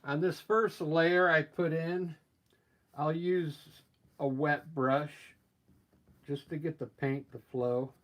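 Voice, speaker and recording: A man with a scratchy voice from allergies, painting live and explaining his steps in a relaxed, thinking-aloud way. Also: fairly high pitch, takes it slowly, lo-fi sound. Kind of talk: casual live painting demonstration.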